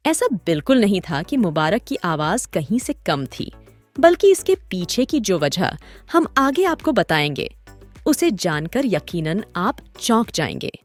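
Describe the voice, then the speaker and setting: A woman's voice: mid-range voice, big pitch swings, a little slow. A woman hosting and narrating a scripted documentary story in a dramatic, literary storytelling manner. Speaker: host and narrator. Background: background music.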